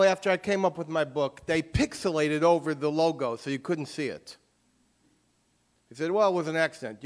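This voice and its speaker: A male author speaks to an audience in an emphatic, forceful way. His voice sits a little high for a man. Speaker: author giving a talk. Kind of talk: lecture with audience questions.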